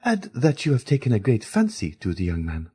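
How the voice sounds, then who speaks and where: A man voicing a firm, independent young woman who speaks emphatically in a high falsetto, reading an audiobook. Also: huge pitch swings, fairly quick, dark tone, breathy edge, exaggerated voice. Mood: proud. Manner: emphatic, clipped refusals.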